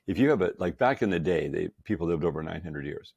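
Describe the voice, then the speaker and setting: A man's voice: deep voice, expressive, fast talker, creaky, darkish tone, breathy edge, no room sound, dull audio. A man in his sixties, a podcast guest, talking with enthusiasm and frequent emphasis. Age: 60s. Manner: enthusiastic, emphatic, laughs often. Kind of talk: casual chat.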